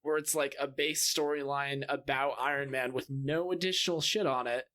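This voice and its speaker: A man's voice: medium pitch, fairly even intonation, moderate pace, pushed and bright. A man co-hosting a podcast, chatting casually and explaining his opinions with some swearing. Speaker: podcast co-host.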